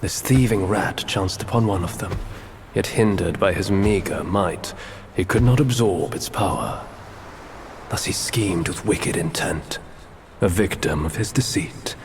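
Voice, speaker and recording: An actor playing a serene male bodhisattva in a game cutscene, speaking in a measured, formal way. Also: low-pitched, a little hiss or hum. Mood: solemn.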